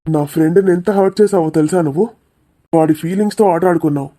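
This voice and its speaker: A man's voice: on the high side, fast talker, mellow, close to an everyday voice. A man voicing an indignant, defensive young man arguing earnestly in a cartoon comedy. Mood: reproachful. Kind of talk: dramatic cartoon comedy dialogue.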